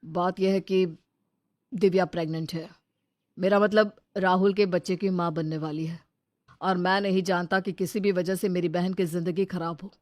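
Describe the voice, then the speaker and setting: A woman's voice: steady tone, normal speed, a little mellow, no echo, clean studio sound, natural voice. A woman voicing a young adult elder brother in a storybook drama, speaking firmly and earnestly. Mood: calm. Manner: firm, earnest, mostly low-key. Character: young adult male elder brother, protective and level-headed. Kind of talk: dramatized Hindi storybook dialogue.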